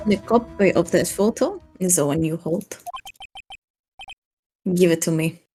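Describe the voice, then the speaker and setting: Woman voicing a cold, slow-talking villainess. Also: lowish voice, mellow, soft background music, close to an everyday voice. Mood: condescending. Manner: slow, deliberate, cryptic, condescending. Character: cold, menacing woman studio producer, calmly blackmailing and threatening. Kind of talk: fan live dub of a visual novel game.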